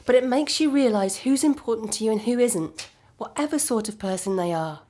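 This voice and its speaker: An actress playing a calm, resigned ex-girlfriend in a naturalistic audio drama, measured, dry and matter-of-fact. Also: a little high. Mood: frank, tender.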